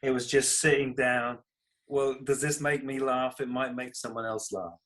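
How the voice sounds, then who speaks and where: A man's voice: mid-range voice, steady tone, normal speed, a bit bright. Modest British man chatting in an interview. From United Kingdom. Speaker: podcast guest, comedy writer and creator. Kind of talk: casual interview chat.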